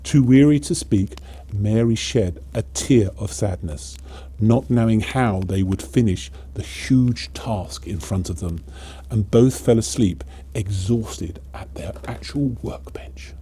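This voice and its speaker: A man narrating a children's storybook in an expressive, theatrical, measured way. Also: lowish voice, a little hiss or hum.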